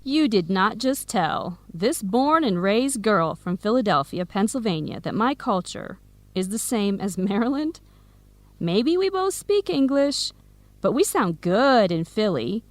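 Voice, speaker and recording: An actress with an American accent playing a sassy, protective best friend from Philadelphia in a radio drama, with an emphatic delivery. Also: female voice, mid-range voice, very animated, unhurried, no room sound. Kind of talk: scripted radio drama dialogue for English learners.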